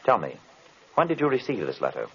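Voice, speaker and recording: Low-voiced, suave radio detective. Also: male voice, huge pitch swings, fairly bright tone, husky, heavily acted. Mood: calm, resolute. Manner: formal, measured, deliberate phrasing. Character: suave, commanding foreign detective, calm and ominous. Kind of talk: old-time radio drama acting. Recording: muffled, noisy old radio recording.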